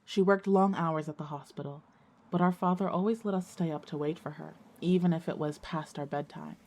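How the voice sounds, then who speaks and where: A woman acting as an earnest schoolgirl visionary, narrating her own ghost story in a measured way for an audio drama. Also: on the low side, darkish tone, natural voice. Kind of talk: scripted first-person dramatic narration.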